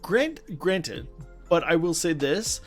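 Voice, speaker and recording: A man's voice: a little high, big pitch swings, slow. Enthusiastic man chatting over music. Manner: enthusiastic, emphatic. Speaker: podcast co-host. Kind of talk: casual chat about films and games.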